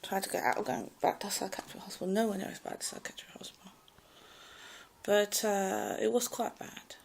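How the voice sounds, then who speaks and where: A woman with a posh English public school accent and a slight clipped American edge, telling her own story in a loud, rambling way with many hesitations. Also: medium pitch, level tone, brisk, gravelly, whispered. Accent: posh English public school with a slight American Ivy League clip. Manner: hesitant, many fillers and restarts. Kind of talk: rambling personal monologue.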